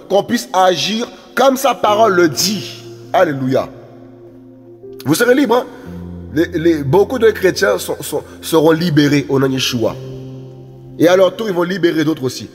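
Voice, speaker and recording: A man's voice: sits high, lively intonation, moderate pace, long reverb tail, faint music behind. A man preaching an impassioned sermon, repeating phrases and calling out hallelujahs. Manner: repetitive, exhorting, calls out "Alléluia". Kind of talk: impassioned street-style sermon.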